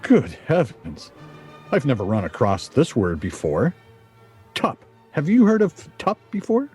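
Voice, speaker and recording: A man's voice: mid-range voice, wildly swooping pitch, a little slow, darkish tone, breathy edge, soft background music, exaggerated voice. A man playing a fussy, distracted husband in a comic radio play, speaking hesitantly. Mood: preoccupied. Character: slow, fussy, distracted husband absorbed in board game. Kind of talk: stage radio play comedy acting.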